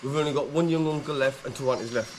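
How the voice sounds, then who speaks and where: A man's voice: mid-range voice, quick pace, reverberant, slight background noise. A man giving a joking, rambling tour of his family's old village house. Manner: joking, rambling, loose tour-guide talk. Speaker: vlogger. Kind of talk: casual chat, touring a village house.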